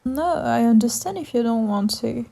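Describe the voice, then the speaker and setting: A woman playing a tender, sleepy girlfriend in an ASMR comfort roleplay, speaking softly and soothingly. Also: a little high, animated, moderate pace, gravelly. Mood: gentle, concerned. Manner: soft, soothing, drowsy, murmuring into whispers.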